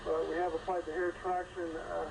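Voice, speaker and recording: Over noisy radio audio, a man plays a male ambulance medic in a staged emergency. His fairly high voice stays flat and businesslike, delivering clipped procedural reports. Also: fast, vocal fry. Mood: businesslike, focused.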